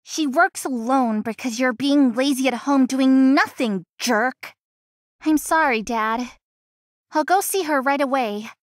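Casting: actress for sweet, hardworking daughter in a romance visual novel, high voice, dry recording. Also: female voice, a little slow, no room sound. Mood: dutiful. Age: young adult. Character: dutiful, gentle young working daughter, playful friend.

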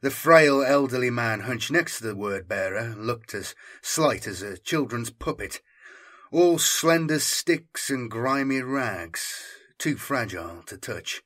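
A male narrator reads dark science fiction with ominous, theatrical weight. His voice is raspy and intense, and he takes his time over the phrases. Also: medium pitch, expressive, takes it slowly. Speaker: audiobook narrator.

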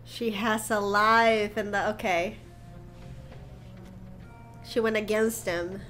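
A woman's voice: mid-range voice, fairly even intonation, slow, a bit bright. A young woman in her twenties chatting casually as she reacts aloud to a show, recorded on a close webcam mic. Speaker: reaction streamer. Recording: show audio and music playing behind.

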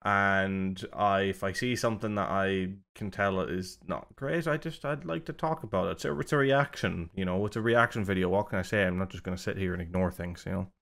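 A man's voice: low voice, brisk, vocal fry, few pauses, fairly bright tone. A man hosting a music reaction video, talking casually and critically, rambling and breaking off mid-sentence. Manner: rambling, self-interrupting, critical.

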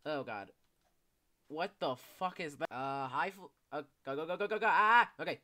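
A young man giving casual, excitable commentary while playing a video game, swearing often and yelling when chased. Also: fairly high pitch, normal speed. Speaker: gameplay commentator. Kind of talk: casual live gameplay commentary.

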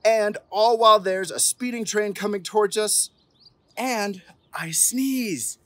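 Young male storytime reader, slightly high voice, theatrical and playful, recorded outside. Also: takes it slowly, bright, forceful tone, no echo. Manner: playful, theatrical, emphatic build-ups. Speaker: police officer reading at storytime. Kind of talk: expressive children's picture-book read-aloud. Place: outdoors on an open terrace.

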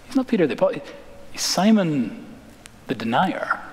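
American guy preaching in a big echoey church, highish voice, really emphatic with dramatic pauses. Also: very animated, darkish tone. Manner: dramatic emphatic delivery with deliberate pauses and repetition. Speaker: pastor. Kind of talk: sermon. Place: large church sanctuary, indoors, preaching from the stage. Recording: reverberant church hall.

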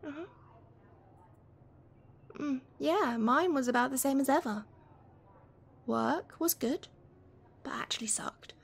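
Woman acting a high-voiced, gentle, playful best friend. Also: takes it slowly, creaky, long pauses, heavily acted. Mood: chatty, weary. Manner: gentle, teasing, flirty. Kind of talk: gentle ASMR roleplay, one-sided conversation.

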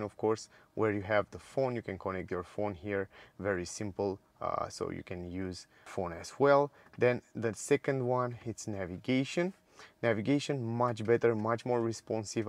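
A man's voice: lowish voice, dry recording. A man touring a car's multimedia screens, speaking English with small grammar slips and lots of "uh" fillers, upbeat about the features. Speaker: car reviewer. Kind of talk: walkaround car review with screen demo. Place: inside a car.

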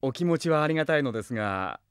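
A man playing a gentle, courteous mage and father in a Japanese fantasy audio drama, speaking politely and mildly. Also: mid-range voice, lively intonation, fast talker, smooth, dry recording, studio quality, lightly acted. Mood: mildly flustered. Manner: polite, soft-spoken, mild. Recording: music behind the voice.

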